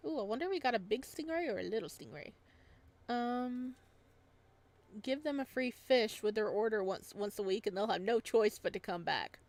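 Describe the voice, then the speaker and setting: A woman's voice: a little high, expressive, moderate pace, a little mellow. A woman hosting a gaming video, reading a story aloud and adding casual commentary.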